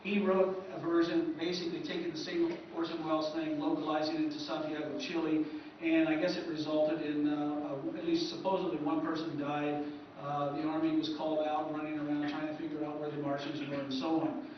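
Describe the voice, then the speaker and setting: A man's voice: a little high, little pitch movement, a little fast. A man giving an informal lecture to an audience as a presenter, rambling and hesitant with many stammered false starts. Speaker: convention presenter, radio historian. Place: echoey presentation room at a convention. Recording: roomy echo, distant mic, dull, hissy.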